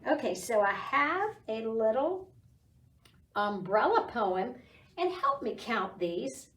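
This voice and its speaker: A middle-aged woman hosting a children's storytime, reading aloud and talking to young children in an animated, encouraging way. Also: on the high side, slow pace, a little hiss or hum. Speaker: library storytime host. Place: small indoor library room. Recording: roomy echo, distant microphone.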